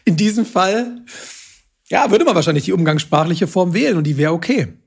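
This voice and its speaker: A language nerd at a podcast table, a higher-voiced man with some huskiness, chattily picking over fine points. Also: expressive, a little fast, gravelly, slightly rough. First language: German. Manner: self-confessed language nerd, pedantic yet chatty. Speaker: podcast host explaining grammar. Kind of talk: casual explanatory talk.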